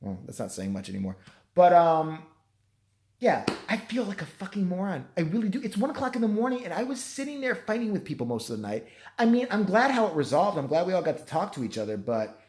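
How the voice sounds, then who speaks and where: A tired man with a hoarse, nearly gone voice, rambling and swearing through a self-deprecating late-night vlog. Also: high voice, sing-song, a little fast, darkish tone. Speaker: vlogger.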